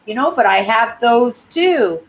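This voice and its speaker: A woman in her sixties from Canada, chatting casually on her live stream in a clear, high-pitched voice and often ending sentences with "right?". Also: expressive, unhurried, slight background noise. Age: 60s. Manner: rambling, tags sentences with "right?". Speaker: YouTube channel host.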